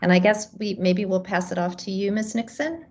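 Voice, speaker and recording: A woman's voice: mid-range voice, expressive, normal speed, a little mellow. A woman moderating a video call panel, thanking a speaker politely and handing over the floor. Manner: polite, gracious.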